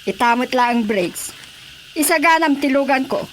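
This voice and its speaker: A woman's voice: high-pitched, animated, a little slow, a little hiss or hum, poor audio. An actress playing a commanding wealthy mother who gives orders to her driver in a radio drama. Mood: urgent. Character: bossy wealthy mother, lady of the house. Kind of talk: Ilocano radio drama acting.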